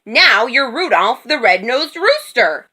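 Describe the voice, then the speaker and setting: A long-suffering storybook cow, doubtful and exasperated, acted by a woman. Her voice is bright and forceful, with wide swings in pitch. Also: big pitch swings, takes it slowly, full character voice. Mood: scheming.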